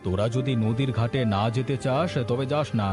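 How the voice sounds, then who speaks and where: A man's voice: medium pitch, fairly quick, music in the background, lightly acted. A man playing a stubborn, feisty old village man in a cartoon, answering back defiantly. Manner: defiant, retorting. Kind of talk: Bengali cartoon dialogue.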